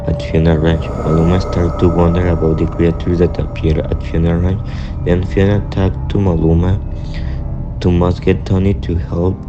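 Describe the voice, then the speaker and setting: A young man narrates an amateur radio drama in a very low, fairly even voice. His phrasing is halting. Music plays clearly behind him. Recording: dull lo-fi sound, music behind.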